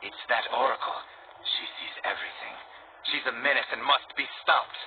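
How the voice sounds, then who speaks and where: A man's voice: mid-range voice, moderate pace, close to an everyday voice. A man playing an earnest, anxious, loyal resistance informant in a video game. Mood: grim. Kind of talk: video game character acting. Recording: tinny band-limited game audio, faint noise.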